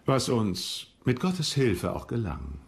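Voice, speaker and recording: A man's voice: medium pitch, huge pitch swings, slow pace, vocal fry, heavily acted. An actor playing a regal, self-assured English king in a historical docudrama, speaking formally and theatrically. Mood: storytelling, self-satisfied. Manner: formal, stately, theatrical phrasing. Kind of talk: German historical docudrama acting.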